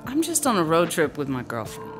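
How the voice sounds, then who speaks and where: A woman's voice: low-pitched, wildly swooping pitch, fairly quick. An actress playing a defiant, wary woman on the run, curt and firm, in a radio drama. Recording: music under the dialogue.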